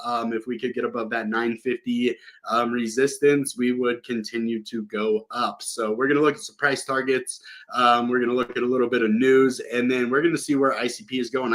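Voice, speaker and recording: A man's voice: medium pitch, a bit bright. A young man with a cold, casually talking through crypto market news as a channel host, with lots of ums and uhs. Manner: rambling, many ums and uhs. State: sick with a cold.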